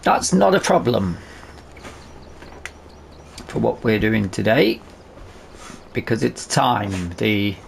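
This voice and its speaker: A man's voice: fairly low pitch, sing-song, slow, vocal fry, long pauses, a little hiss or hum. A man giving casual let's play commentary, hesitant and musing aloud to himself as he hosts a gaming video.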